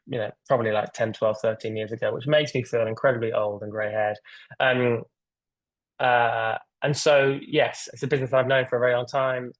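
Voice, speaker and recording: A man's voice: mid-range voice, no room sound. A man hosting a video-call webinar in a casual, rambling way, with lots of fillers and restarts. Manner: rambling, filler-heavy, enthusiastic. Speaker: webinar host.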